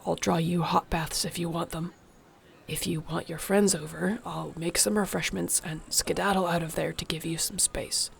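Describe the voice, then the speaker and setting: A woman playing a protective, devoted orc warrior girlfriend in an intimate audio roleplay, speaking softly, breathily and earnestly. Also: fairly low pitch, fairly even intonation, moderate pace, creaky. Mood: caring, firm.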